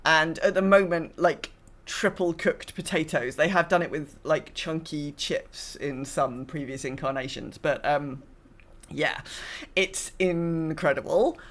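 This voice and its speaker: A woman with a British accent co-hosting a podcast in relaxed, informal conversation. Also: deep voice, a little slow. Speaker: podcast co-host. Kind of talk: casual chat.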